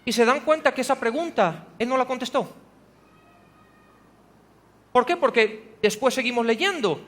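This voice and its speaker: High-voiced male pastor in reverberant hall. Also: animated, measured pace, fairly bright tone. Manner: preaching with rhetorical questions, rising to emphatic passages. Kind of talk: sermon. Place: church hall.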